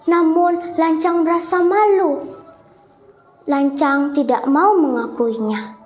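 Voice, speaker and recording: A woman's voice: very high-pitched, takes it slowly, soft background music. A young female storyteller telling a folk tale in a dramatic style. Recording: echoey room.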